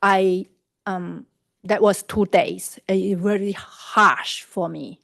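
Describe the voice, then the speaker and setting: Halting gravelly woman, Cantonese native. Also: medium pitch, steady tone, fairly bright tone, dry recording, clean studio sound. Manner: halting, searching for words. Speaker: witness. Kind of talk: court testimony. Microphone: video call.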